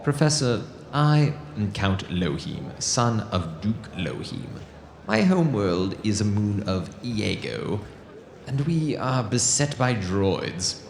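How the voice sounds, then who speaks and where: Guy doing a solemn alien count, creaky voice that rises and falls a lot, audio drama. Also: fairly low pitch, sing-song, takes it slowly, echoey room, a little hiss or hum, exaggerated voice. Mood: grave, halting. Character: solemn alien nobleman, a count's son seeking revenge.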